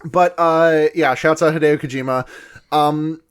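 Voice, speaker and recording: A man's voice: fairly high pitch, steady tone, a little slow, clear, resonant tone. Rambling young man recapping an anime. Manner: rambling, self-correcting, acts out characters' lines jokingly. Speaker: podcast co-host. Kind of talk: casual chat recapping an anime episode.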